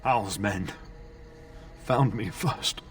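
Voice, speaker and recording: A man's voice: on the low side, extremely slow. A man playing a badly wounded noble lord in a fantasy game, weakened and straining to speak. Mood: pained. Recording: distant game audio under music.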